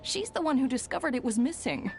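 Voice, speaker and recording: A woman playing a gossiping townswoman in a video game cutscene, confiding and hushed as she passes on news. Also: very animated, quick pace, gravelly, soft background music, full character voice. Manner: confiding, lowers voice to share news. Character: gossiping townswoman, knowing and conspiratorial.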